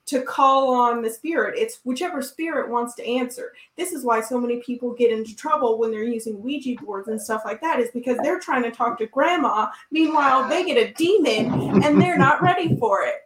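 A woman's voice: on the high side, fairly quick, hardly any pauses, a bit bright, roomy sound, slight background noise, poor audio. A woman speaking as a podcast guest, matter-of-fact and self-assured. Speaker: guest, occult practitioner. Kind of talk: casual podcast chat.

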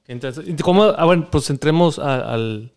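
A man's voice: on the high side, a little fast, a little mellow. A man with a Mexican accent hosting a casual, bantering interview, talking in slangy, profane, teasing style. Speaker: podcast host and fellow cook.

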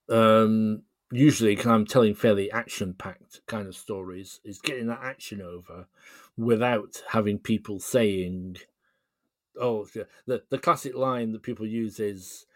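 Low-voiced man, dry close sound. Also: no echo. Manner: hesitant, thoughtful, explanatory. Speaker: podcast guest, writer. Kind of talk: casual interview chat.

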